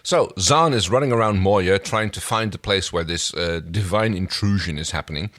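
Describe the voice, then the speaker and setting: A man's voice: deep voice, moderate pace, a bit bright. A man co-hosting a podcast, talking through a TV episode in casual, conversational fashion. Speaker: podcast co-host. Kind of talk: casual TV episode recap chat.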